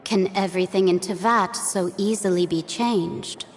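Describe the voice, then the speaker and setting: A woman playing a mysterious, serene otherworldly guide in a video game, speaking in a measured, formal, enigmatic manner. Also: medium pitch, steady tone, slight background noise, lightly acted. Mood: solemn, cryptic.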